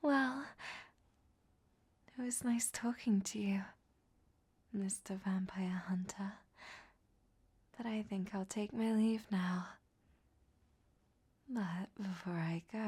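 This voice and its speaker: A woman playing a flirtatious, cunning ancient vampire in a roleplay audio drama, teasing and sardonic in a soft, breathy half-whisper. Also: medium pitch, fairly even intonation, takes it slowly, long pauses, exaggerated voice. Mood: smug.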